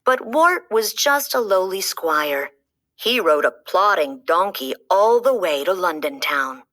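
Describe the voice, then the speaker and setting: A woman reading a children's storybook aloud, with a slow, animated storytelling manner. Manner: slow, lively storytelling with dramatic pitch swings. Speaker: storybook reader. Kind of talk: children's read-aloud narration.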